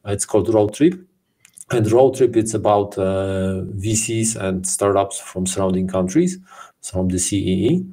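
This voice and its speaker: A man co-hosting a podcast, introducing the episode and guest in a halting way with frequent fillers. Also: low-pitched, warm tone, far from the mic. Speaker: podcast co-host.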